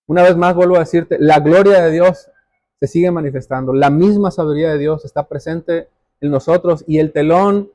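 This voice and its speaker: High-voiced man preaching a sermon. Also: darkish tone, no room sound. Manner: exhorting, repeats phrases for emphasis. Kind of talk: church sermon with scripture reading and prayer.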